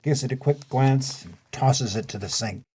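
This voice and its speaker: A man serves as narrator for an audio play, reading out the stage directions. His mid-range voice has a slightly husky, rough grain. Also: mid-range voice, normal speed, mellow.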